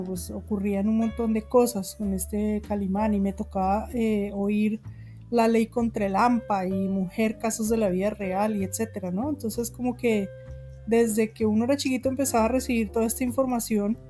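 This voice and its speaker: A woman's voice: a little high, steady tone, moderate pace, warm tone, soft background music. A Colombian woman in her forties with a Bogotá accent, a guest writer talking casually in an interview, chatty with frequent laughs and fillers. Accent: Bogotá Colombian Spanish. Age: 40s.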